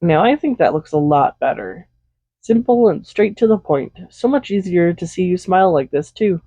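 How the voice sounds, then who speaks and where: A man playing a smug, possessive villain who dotes on a captive hero in an intimate audio roleplay, soft-spoken, unhurried and condescending. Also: sits high, expressive, no room sound. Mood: doting. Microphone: close USB condenser microphone.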